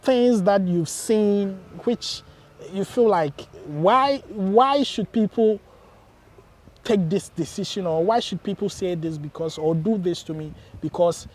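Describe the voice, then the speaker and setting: A man's voice: high voice, slow, a little mellow, a little hiss or hum. A man hosting an interview, asking questions and encouraging with frequent short replies. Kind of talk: casual interview.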